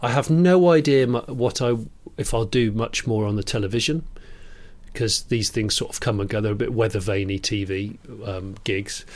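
A man's voice: on the low side, moderate pace, darkish tone. Jokey man in his forties. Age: 40s. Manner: jokey, self-deprecating, trips over words. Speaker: podcast co-host. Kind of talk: casual chat.

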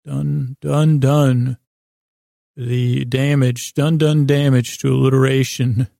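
A man with a creaky voice hosting a sleep podcast, meandering softly through a soothing bedtime monologue, halting, repeating himself and gently goofy. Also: medium pitch, little pitch movement, dry recording, clean studio sound. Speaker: podcast host.

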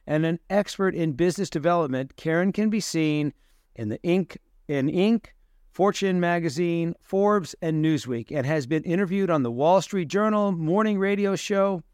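A male podcast host introduces his guest and asks her friendly questions about her life. His voice sits a little high and sounds crisp, and it is recorded dry and close. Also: normal speed, no echo. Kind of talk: interview hosting.

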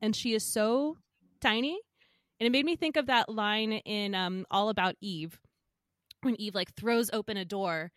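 A woman's voice: mid-range voice, unhurried, fairly bright tone, no echo, studio quality. A woman hosting a podcast, chatting in a bubbly way and laughing often. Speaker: podcast host. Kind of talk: casual chat.

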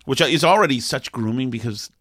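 A man co-hosts a talk show, his voice sniffly and mid-pitched. He rambles through fillers and restarts before hitting his points hard. The sound is dry and close. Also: animated, fairly bright tone, no echo. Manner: rambling, halting with fillers and restarts, then emphatic. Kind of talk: opinionated casual talk.

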